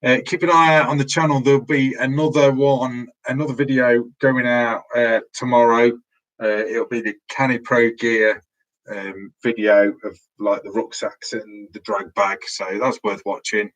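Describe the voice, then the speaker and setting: A man's voice: medium pitch, little pitch movement, moderate pace, fairly bright tone, muffled recording. A man hosting a casual live stream from his room at home, losing his voice, rambling and restarting his sentences, heard through a laptop mic. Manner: rambling, restarts often, chatty.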